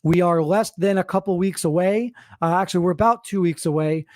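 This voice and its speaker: A man hosting as a sports team general manager, announcing to followers and stressing names and stats with deliberate emphasis. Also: high-pitched, a little mellow, no room sound, clean studio sound.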